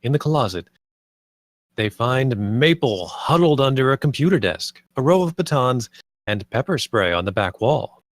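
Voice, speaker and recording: A man's voice: medium pitch, big pitch swings, normal speed, no room sound, studio quality. A man narrating stage directions at a live script table read.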